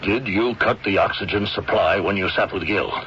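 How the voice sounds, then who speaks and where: A man acting in a 1970s radio drama as a suspicious, firm doctor who questions people deliberately. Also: on the low side, fairly bright tone, lightly acted. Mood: probing, firm. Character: suspicious male doctor, old family friend, firm and probing. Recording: muffled, hissy 1970s radio broadcast.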